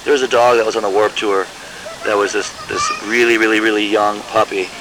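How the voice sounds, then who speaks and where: A man from Michigan, a musician, answering interview questions in a reflective, halting way with many restarts. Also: on the low side, fairly even intonation, noisy background.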